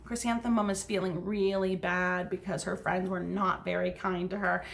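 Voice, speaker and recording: A woman's voice: fairly low pitch, flat delivery, moderate pace, vocal fry, a little hiss or hum. A woman teacher reading a story aloud to young children, with simple, instructive asides.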